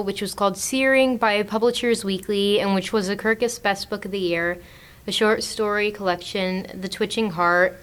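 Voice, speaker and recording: A young woman in her teens, reading and chatting as a podcast panelist. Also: medium pitch. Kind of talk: scripted reading and casual discussion.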